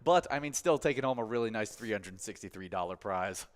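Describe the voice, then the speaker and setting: A man's voice: lowish voice, animated, brisk. Upbeat Midwestern male bingo host. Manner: upbeat, promotional, asks questions. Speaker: co-host of a live bingo stream. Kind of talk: casual chat and promo reads.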